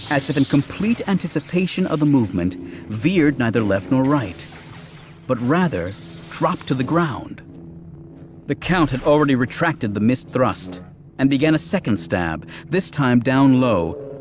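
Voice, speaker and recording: A man reading an audiobook as narrator, delivering scripted action storytelling in a dramatic style. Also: mid-range voice. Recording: music behind the narration.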